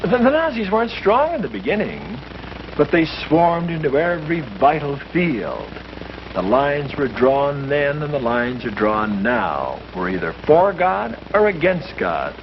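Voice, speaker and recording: A man's voice: a little high, a little slow, lo-fi sound, background noise. Earnest preacherly man on an old film soundtrack. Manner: earnest, preacherly, lively emphasis. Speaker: priest leading a discussion. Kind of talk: earnest scripted speech in a 1951 short film.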